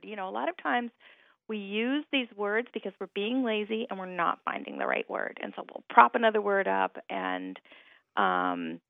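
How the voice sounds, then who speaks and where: Gravelly woman on a phone line. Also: medium pitch, dry recording. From Hawaii. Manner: articulate, thoughtful, with repeated starts. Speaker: writer and guest. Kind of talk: reflective radio conversation.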